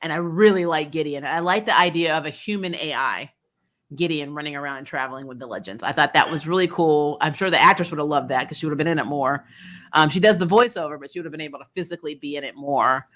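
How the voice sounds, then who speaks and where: A woman giving a casual, opinionated recap as an online reviewer, expressive and informal in her slangy delivery. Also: sits low, quick pace. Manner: expressive, informal slang, frequent laughing asides. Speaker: YouTube TV show reviewer, host.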